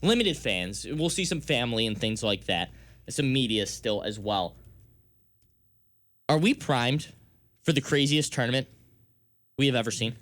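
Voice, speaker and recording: A man's voice: mid-range voice, expressive, unhurried, pauses often, a bit bright. An American man hosting a sports radio show, debating emphatically and laying out his case point by point.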